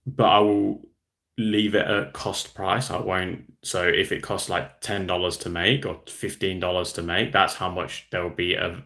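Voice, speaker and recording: A low-voiced man talks loosely to his livestream viewers, rambling between ideas and reading out comments. His voice sits deep, with a gravelly, fry-laden edge. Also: level tone, measured pace, pushed and bright. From Australia. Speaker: hobby channel host. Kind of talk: casual livestream chat.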